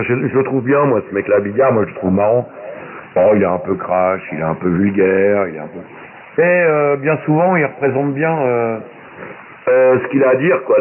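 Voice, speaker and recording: Narrow phone-like radio sound, faint static, male ham operator, casual chat, slangy, crude, hesitant delivery. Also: mid-range voice. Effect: shortwave ham radio transmission. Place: amateur radio link.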